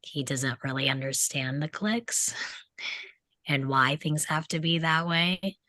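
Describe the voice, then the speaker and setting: A woman's voice: sits low, takes it slowly, pushed and bright, no echo. A woman talking casually as a panelist in a group discussion. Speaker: table read panelist.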